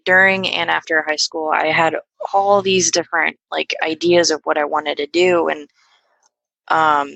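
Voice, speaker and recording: A woman's voice: low-pitched, flat delivery, measured pace, gravelly, sharp tone, no echo, highs cut off. A young woman guest on a podcast, talking casually and hesitantly with lots of fillers and restarts. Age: young adult. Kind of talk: casual conversational interview.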